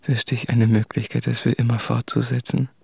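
A man's voice: medium pitch, level tone, normal speed, vocal fry, husky. A man acting an anguished, self-tormenting writer in a literary radio drama, brooding and intimate, often sinking into whispers. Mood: bleak.

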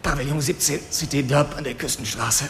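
A man's voice: medium pitch, fairly quick, lightly acted. An actor playing a cynical, cowardly henchman in a radio drama, talking in a coarse, self-excusing way. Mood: subdued. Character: cynical, cowardly male henchman confessing to police. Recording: clear ambient noise behind.

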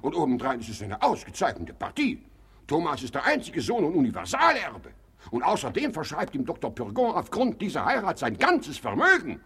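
Hypochondriac patriarch, radio comedy; husky, creaky, theatrical, petulant and blustering. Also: male voice, fairly high pitch, huge pitch swings, brisk, fairly bright tone, exaggerated voice. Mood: stubborn, blustering. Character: choleric, self-pitying hypochondriac father, domineering and petulant. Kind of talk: German radio play, classic stage comedy.

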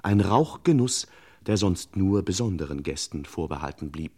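A man's voice: low voice, expressive, normal speed, darkish tone. A man playing a pompous, mock-solemn narrator of a radio crime parody, speaking in ornate, courteous phrases with dry irony. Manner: ornate, mock-formal, addresses listeners with exaggerated courtesy. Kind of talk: scripted radio crime serial narration, ironic.

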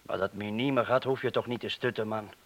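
A man's voice: lowish voice, steady tone, fairly quick. A male actor playing a casual, dismissive burglar in a radio play. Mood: skeptical.

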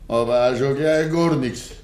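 An actor playing a stubborn, grieving old farmer with a Bavarian accent and quiet tenderness in a 1950s dialect radio play. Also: male voice, mid-range voice, moderate pace, lightly acted. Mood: stubborn, indignant. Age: older. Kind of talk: 1950s German dialect radio drama acting.

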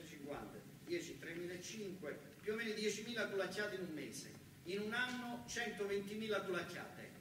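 A man with a southern Italian accent performing a colloquial, emphatic, repetitive first-person theatrical monologue. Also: sits high. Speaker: stage actor performing a narrative monologue. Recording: very echoey, distant, noisy live hall recording.

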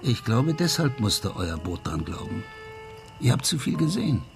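An amateur sleuth in a radio crime drama. His fairly low voice reasons step by step with questions, and music plays clearly underneath. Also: sing-song, normal speed. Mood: explaining. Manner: didactic, questioning.

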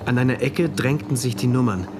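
An actor playing a pensive, uneasy mathematician who narrates his diary in a measured, introspective way in a radio drama. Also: medium pitch, background noise, close to an everyday voice. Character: pensive male mathematician diarist in a dystopia, uneasy and orderly. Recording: music playing behind the voice.

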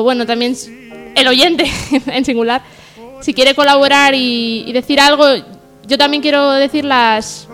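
A woman with a high voice presents her radio section informally. She is earnest and hesitant, often putting herself down, with faint music behind her. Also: a bit bright. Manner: hesitant, self-deprecating, earnest. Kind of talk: informal spoken radio monologue.

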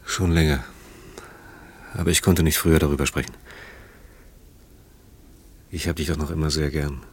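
Man, extremely low pitch, husky, halting, guilt-ridden, earnest, radio drama. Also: fairly even intonation. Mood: guilty, hesitant. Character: hesitant, guilt-ridden man ending a love affair. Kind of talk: naturalistic German radio drama acting.

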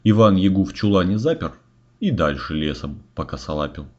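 A man's voice: sits low, animated, brisk. A man narrating a rhymed fairy tale from a script, on a muffled, noisy recording. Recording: muffled audio with clear noise, clicks.

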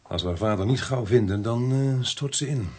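An actor playing a businesslike police inspector in a Dutch radio drama, probing and pausing as he talks. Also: mid-range voice, warm tone, slight background noise, natural voice. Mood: grave, businesslike. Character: businesslike male police inspector, investigating a case.

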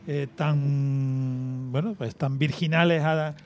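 A man's voice: medium pitch, steady tone, a little mellow, slight background noise. A man hosting a radio talk show, reacting with enthusiasm and filling his speech with little tags and hesitations. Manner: effusive, fills pauses with "eh" and "¿no?". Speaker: radio host.

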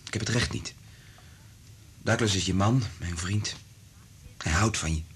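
Clinical yet caring doctor, deep voice, restrained, earnest, radio drama, faint noise and rumble. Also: male voice, unhurried, a bit bright. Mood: subdued, earnest. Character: concerned, clinical male doctor secretly in love with colleague. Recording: noisy radio play recording with low rumble.

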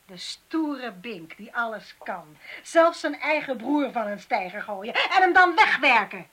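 Female inspector, radio drama, high-pitched, lively, sardonic, commanding, noisy recording. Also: measured pace, full character voice.

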